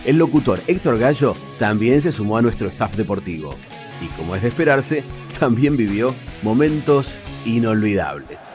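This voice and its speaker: A man's voice: mid-range voice, lively intonation, moderate pace, darkish tone. A man with an Argentine accent reading scripted narration for a radio documentary. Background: guitar music.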